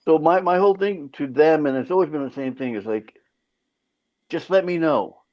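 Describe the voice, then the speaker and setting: A man's voice: a little high, lively intonation, normal speed. Loose-talking Finnish-born man, lo-fi. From Finland. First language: Finland Swedish. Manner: loose, profane storytelling. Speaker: singer, guest. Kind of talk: casual interview chat. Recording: slightly dull lo-fi sound.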